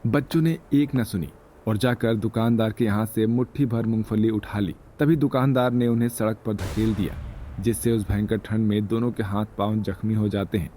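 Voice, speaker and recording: A man's voice: fairly low pitch, steady tone, moderate pace, mellow, slight background noise. A man narrating a scripted moral story in Hindi storytelling style.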